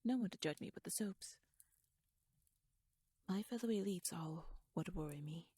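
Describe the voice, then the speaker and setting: A woman's voice: lowish voice, normal speed, warm tone, husky. A woman playing a shrewd, talkative royal schemer in an ASMR audio roleplay, speaking in wordy, formal, playfully wry musings addressed to the listener. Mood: sly, chatty.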